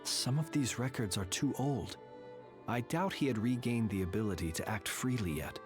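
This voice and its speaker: Man voicing a calm, analytical investigator. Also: medium pitch, natural voice. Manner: measured and analytical. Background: faint game music.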